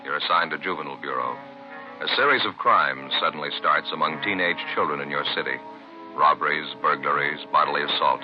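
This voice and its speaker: A man's voice: very deep voice, a little fast. A man performing as a grave, authoritative American announcer in the scripted style of 1950s radio. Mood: grave, urgent. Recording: muffled vintage radio recording, faint music.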